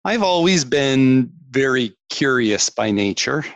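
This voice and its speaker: A man's voice: mid-range voice, lively intonation, slow, no echo. A Canadian man, a tech executive, talking reflectively about his career as a guest on a podcast interview, with frequent restarts.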